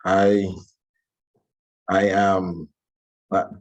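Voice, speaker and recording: A man, giving an informal talk about his own story in a polite, humble way, often halting and repeating words. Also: deep voice, level tone, highs cut off. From Bahamas. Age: 20s. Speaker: guest speaker, alumnus.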